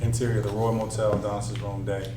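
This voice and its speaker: A man's voice: on the low side, flat delivery, fast talker. A man reading out stage directions as the narrator at a film script table read. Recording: distant, echoey room with noisy hum.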